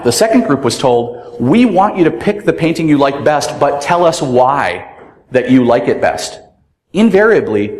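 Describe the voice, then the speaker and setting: A man with a very loud voice giving a conference talk, animated and rhetorical, often asking the audience "right?". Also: mid-range voice. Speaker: conference speaker. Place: large conference room. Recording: echoey hall with long reverb.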